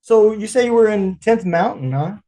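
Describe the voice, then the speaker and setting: A man hosting a podcast, asking questions in a relaxed, chatty way with many fillers. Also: sits high, normal speed. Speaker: podcast host. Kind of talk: casual interview chat.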